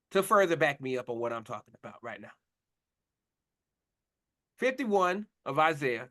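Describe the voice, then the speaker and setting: A man's voice: on the high side, a bit bright. A man preaching a confrontational sermon, blunt and mocking, in slangy talk to his listeners.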